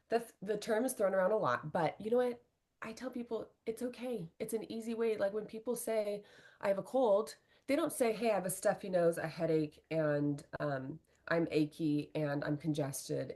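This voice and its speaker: A middle-aged woman, a relationship coach, speaking earnestly and candidly in an interview and often tagging her points with "right?". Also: lowish voice. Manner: earnest, animated, fillers like "right?".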